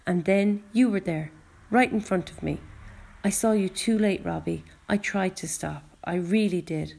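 Lady doing a guilty scottish dad for a storybook, kind, shaky voice, soft dark tone. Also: fairly low pitch, creaky, slightly rough, heavily acted. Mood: remorseful, upset. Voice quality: wobbly, emotional voice. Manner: halting, earnest, apologetic. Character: remorseful Scottish father who caused an accident, upset and kind.

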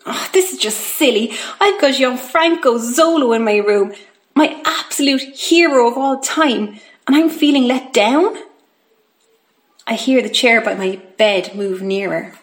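An excitable young boy, played by a woman tells his own story in an audiobook. The voice is high and childlike, confiding in the listener with plenty of expression. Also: unhurried, close to an everyday voice. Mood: let down. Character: excitable football-mad boy lying unresponsive in hospital. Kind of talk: first-person audiobook narration.

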